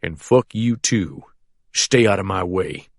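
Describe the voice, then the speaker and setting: A man voicing a hot-tempered, physically huge male sheriff who barks his orders in a coarse manner and drops to a soft menace when he threatens someone. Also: low voice, takes it slowly, no room sound, clean studio sound, heavily acted. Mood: gruff.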